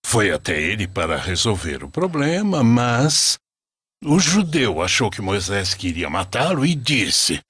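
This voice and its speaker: A man narrating an animated religious story as a storyteller, solemn and deliberate, in a dramatic scripted style. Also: on the low side, breathy edge, dry recording, studio quality, full character voice.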